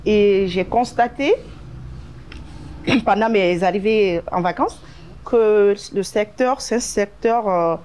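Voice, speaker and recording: A woman's voice: mid-range voice, slow, darkish tone. A woman from Guinea, a beautician, answering interview questions hesitantly and searching for words. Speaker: beautician and salon owner.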